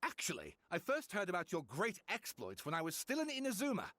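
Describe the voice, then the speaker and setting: A man's voice: sits high, wildly swooping pitch, a little fast, bright, forceful tone, exaggerated voice. An actor playing an awkward, polite and curious young male scholar in a video game, earnest and hesitant. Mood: flustered, earnest.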